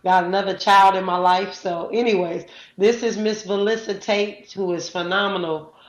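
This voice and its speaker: A woman's voice: medium pitch, fairly even intonation, moderate pace, echoey room, lo-fi sound. A woman hosting a live audio chat room, talking casually with many fillers and introducing guests. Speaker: host.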